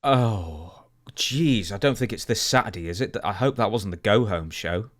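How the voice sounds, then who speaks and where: A man in his thirties with a moderately low voice hosts a casual talk podcast. He speaks conversationally into a close broadcast microphone, moving between news and questions for his co-host. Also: animated, moderate pace. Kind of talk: casual chat, wrestling news discussion. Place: small home studio indoors. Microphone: broadcast mic on a boom arm, close.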